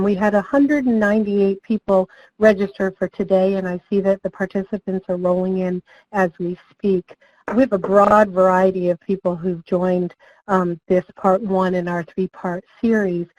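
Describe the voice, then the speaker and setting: A slightly hoarse woman, a project director, welcomes people to a training webinar and gives an overview, friendly and with frequent ums. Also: medium pitch, darkish tone, no echo, lo-fi sound. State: slightly hoarse, losing her voice.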